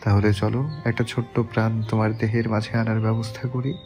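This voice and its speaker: A man voicing a soft-spoken tender husband. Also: level tone, brisk, close to an everyday voice. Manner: soft, gentle, low-voiced. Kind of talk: romantic audio story acting. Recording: soft background music.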